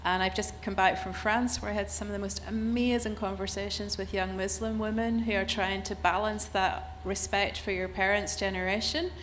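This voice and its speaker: A woman's voice: medium pitch, level tone, moderate pace, few pauses, a bit bright, slight background noise. A woman novelist with a Northern Irish accent, speaking on a festival panel in an enthusiastic, chatty way. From Northern Ireland. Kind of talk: literary festival panel talk.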